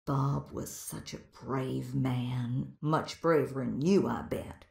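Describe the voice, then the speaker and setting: A woman's voice: rumbling low, steady tone, slow pace, creaky, a little mellow, heavily acted. A woman playing a tough, blunt older widow in a video game, with a wry, folksy way of talking. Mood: fond, challenging. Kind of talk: video game character dialogue. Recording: roomy echo.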